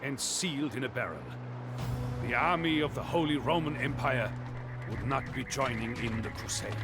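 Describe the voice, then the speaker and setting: Over loud music, an old male chronicler telling a medieval saga for a strategy game. His voice is gravelly and sits fairly high for a man. He phrases everything theatrically and with measured emphasis. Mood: solemn, proud. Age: older. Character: old man, dramatic storyteller of a medieval emperor's rise and fall. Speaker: narrator. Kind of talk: scripted dramatic storytelling narration for a game cutscene.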